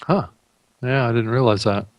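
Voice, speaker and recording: A man hosting a podcast, talking in a casual, halting way and repeating words as he thinks. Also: medium pitch, dry recording. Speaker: podcast host. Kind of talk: casual chat.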